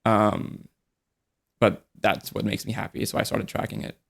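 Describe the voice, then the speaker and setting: Young man, low gravelly voice, relaxed rambling podcast chat, lots of fillers. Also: unhurried. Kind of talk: casual podcast conversation.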